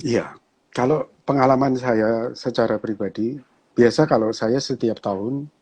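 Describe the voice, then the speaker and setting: A man with a Javanese accent, a pastor talking casually and relaxed in an online conversation, chatty and quick to laugh. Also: medium pitch, husky. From Java, Indonesia.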